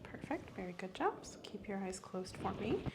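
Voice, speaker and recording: A soft-spoken woman playing a medical examiner in an ASMR roleplay, gently giving instructions and encouragement. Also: medium pitch, very animated, moderate pace, background noise.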